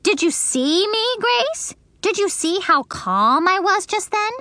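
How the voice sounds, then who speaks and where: A woman voicing an excitable, bossy first-grade girl who chatters in an eager, earnest way for an audiobook. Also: sits high, unhurried, no room sound, pitched above the performer's own voice, heavily acted. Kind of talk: audiobook character voice.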